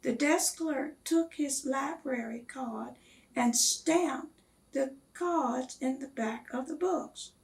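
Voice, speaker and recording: A woman reading a storybook aloud to children in a deliberate, expressive manner. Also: sits high, creaky. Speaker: read-aloud guest reader.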